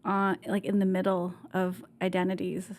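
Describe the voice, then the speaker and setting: A woman's voice: on the low side, completely flat delivery, normal speed, a little mellow. Chatty woman guest on a studio mic. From Vietnam, raised in Canada. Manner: chatty, laughs often, many fillers and restarts. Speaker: podcast guest. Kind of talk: candid conversational interview. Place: bright podcast studio. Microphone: desk mic on boom arm.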